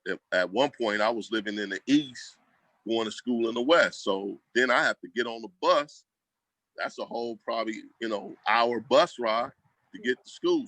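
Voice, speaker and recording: A man's voice: medium pitch, fairly bright tone, dry recording, low-quality recording. A man talking casually as an interview guest, telling stories in slangy, colloquial speech and acting out quoted remarks. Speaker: school program leader, guest. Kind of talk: casual conversational storytelling.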